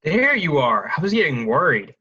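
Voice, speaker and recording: An actor playing a fretful, inquisitive friend in a staged play reading. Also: male voice, on the high side, big pitch swings, moderate pace, pushed and bright, breathy edge, highs cut off, full character voice. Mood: worried, puzzled.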